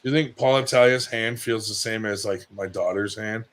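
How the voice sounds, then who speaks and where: A man's voice: fairly low pitch, moderate pace, fairly bright tone, slight background noise. A middle-aged man in a casual, rambling chat, swearing freely as he talks through his technique. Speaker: arm wrestler on a livestream show.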